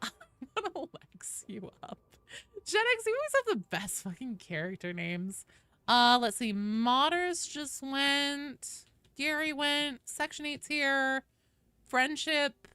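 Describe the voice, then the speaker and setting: A woman's voice: sits high, expressive, takes it slowly, bright, forceful tone, dry recording. A woman chatting casually and expressively with viewers as she hosts a gaming livestream. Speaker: game streamer and host.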